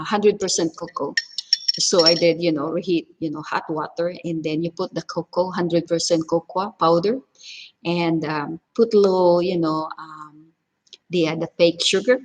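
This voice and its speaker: A woman's voice: on the low side, rough recording. A middle-aged woman from Cebu in the Philippines with a heavy Filipino accent, chatting casually on a livestream from her kitchen with lots of fillers. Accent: Filipino (Cebuano). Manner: chatty, rambling, many fillers. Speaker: home cooking vlogger. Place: her home kitchen, indoors.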